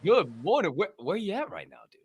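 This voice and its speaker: A man hosting a casual talk show, energetic and profane, with big hype-man intros and a voice a bit hoarse after a long weekend. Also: high-pitched, fairly quick. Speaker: podcast host.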